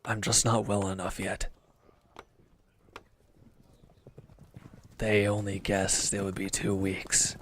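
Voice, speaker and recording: A man's voice: fairly low pitch, slight background noise, close to an everyday voice. A man acting in an audio roleplay as a sullen, defensive wounded villain, bitter and withdrawn, speaking in curt, grudging, evasive phrases.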